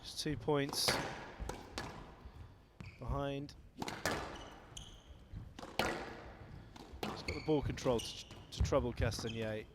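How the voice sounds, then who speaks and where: Bloke commentating squash, chatty and joking, mid pitch, really loud court clatter behind. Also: male voice, drawn-out words, long pauses, very noisy. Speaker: sports commentator. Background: loud ball hits and court clatter.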